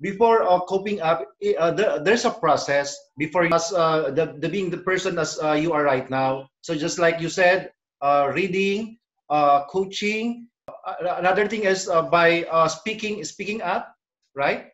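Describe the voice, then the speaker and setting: A man with a slightly raised voice speaks haltingly as co-host, full of fillers. The sound is muffled and echoey. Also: on the high side, a bit bright. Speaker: interview co-host.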